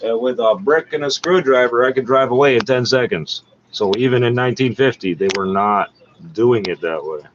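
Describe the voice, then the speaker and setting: A man's voice: medium pitch, a little fast. A man giving blunt, profane, sardonic off-the-cuff running commentary as he talks back at a radio broadcast. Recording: dull, noisy lo-fi recording.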